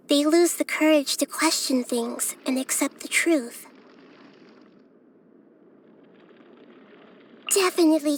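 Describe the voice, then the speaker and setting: A woman voicing a childlike girl god of wisdom for a video game, gentle, curious and thoughtful, speaking softly and carefully. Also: sits high, lightly acted, poor audio. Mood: earnest, musing. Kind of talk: scripted video game character voice lines.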